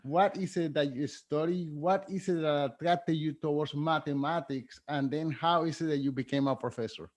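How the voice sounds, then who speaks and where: Higher-voiced male podcast host. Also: normal speed, few pauses. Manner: scripted intro, then warm rambling questions with laughs. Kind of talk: podcast interview.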